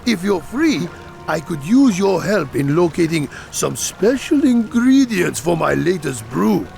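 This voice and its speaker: A man's voice: a little high, gravelly, a little mellow, full character voice. A man voicing a jolly, humble wandering brewmaster creature in a video game, genial and ale-loving. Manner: unhurried, genial, chuckling storyteller. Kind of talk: video game character acting.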